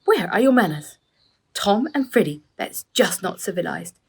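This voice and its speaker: A woman voicing a strict storybook mother who scolds children in a sharp, squawking manner. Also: medium pitch, big pitch swings, moderate pace, gravelly, a little hiss or hum. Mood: sharp scolding. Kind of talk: storybook character voice.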